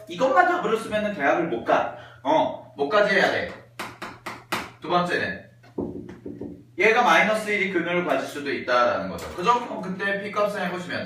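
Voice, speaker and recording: Male math teacher, hoarse voice, noisy echoey classroom. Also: mid-range voice, far from the mic. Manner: lively, checks in with students often. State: voice going hoarse.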